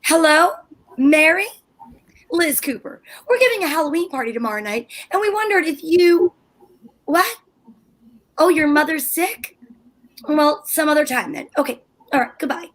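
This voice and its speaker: A woman's voice: high-pitched, lively intonation, moderate pace, long pauses. Woman playing a tart scheming housewife. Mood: exasperated. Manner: tart, sarcastic, flustered. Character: scheming indignant housewife Liz. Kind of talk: amateur old-time radio acting.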